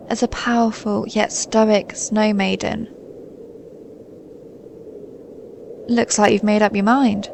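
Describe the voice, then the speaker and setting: A woman playing a centuries-old snow maiden in an intimate audio roleplay, a gentle, stoic and melancholy guardian spirit who speaks in a soothing, formal, measured way. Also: mid-range voice, creaky, a little hiss or hum. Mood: solemn, resolute. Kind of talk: scripted audio roleplay, intimate monologue to listener.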